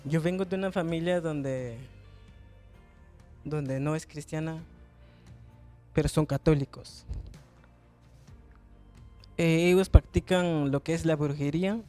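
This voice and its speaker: A man's voice: on the high side, slow pace, long pauses, dark tone, soft background music. A young man with a Guatemalan accent giving an earnest, somewhat hesitant religious testimony as a guest. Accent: Guatemalan Spanish. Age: young adult. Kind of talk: personal testimony.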